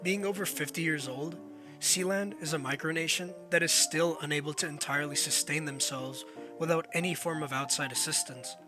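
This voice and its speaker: Higher-voiced man narrating over clear music. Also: steady tone, brisk. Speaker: documentary narrator. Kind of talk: scripted narration.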